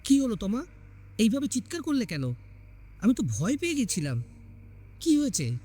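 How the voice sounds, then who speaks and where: A man's voice: very high-pitched, wildly swooping pitch, normal speed, warm tone, husky, voice pushed up, heavily acted. A man voicing a devoted, earnest young husband in a narrated horror story. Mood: alarmed. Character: devoted, playful young husband, anxious for his wife.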